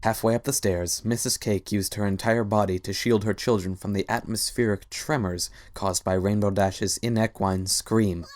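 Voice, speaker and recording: Male narrator, low pitch, scripted storytelling, dramatic reading. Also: fairly even intonation, moderate pace, hardly any pauses, darkish tone.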